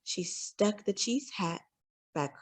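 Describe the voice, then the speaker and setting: A woman reading a children's story aloud as a storyteller, with dramatic, deliberate phrasing. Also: medium pitch, animated. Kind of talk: expressive children's read-aloud narration.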